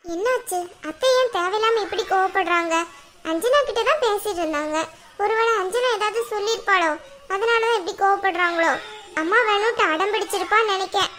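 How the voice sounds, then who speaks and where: A woman's voice: very high-pitched, a little fast, noisy background. A woman playing a meek pleading daughter-in-law. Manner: pleading, respectful.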